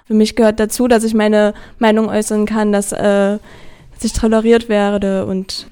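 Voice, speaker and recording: A young woman gives a calm, composed interview statement. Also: mid-range voice, normal speed, smooth. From Germany.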